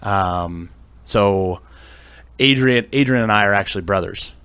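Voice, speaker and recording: A man's voice: lowish voice, measured pace, a bit bright, a little hiss or hum. A man from central Pennsylvania hosting a podcast, upbeat and friendly, chatting casually with lots of fillers. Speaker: podcast host. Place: studio.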